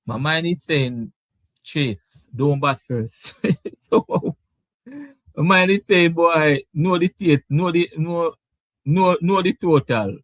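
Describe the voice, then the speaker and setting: A man with a Caribbean English accent hosting a sports debate show, animated and repeating his words. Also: a little high, slow pace, no echo. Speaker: show host. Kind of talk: lively sports debate talk.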